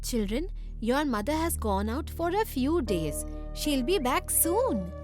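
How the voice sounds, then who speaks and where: An anxious, caring village aunt from a cartoon. Her voice is high, and music plays clearly behind her. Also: sing-song, slow pace, full character voice. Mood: soothing. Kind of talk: cartoon story dubbing.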